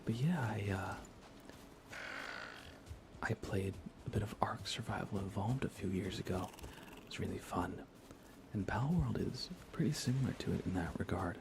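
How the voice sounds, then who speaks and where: A man speaking in a soft, breathy whisper, giving unhurried, gently playful ASMR commentary while he plays a video game for viewers. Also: fairly low pitch, noisy background. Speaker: livestreamer playing a video game.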